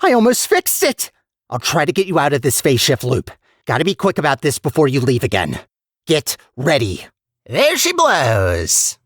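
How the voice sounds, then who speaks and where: A man playing a chatty, anxious robot personality core in a video game, guiding the player in an expressive, conversational and theatrical way. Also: medium pitch, no room sound, studio quality. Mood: determined, eager. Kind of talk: animated video game voice acting.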